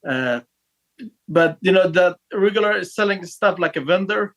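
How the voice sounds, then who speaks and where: A man in his thirties who grew up in Afghanistan, his voice sitting a little higher than most men's. He speaks haltingly, padding his answers with fillers and stopping to restart his sentences. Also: expressive, fairly bright tone. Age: 30s. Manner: hesitant, many fillers and restarts. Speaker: guest. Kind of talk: casual interview talk.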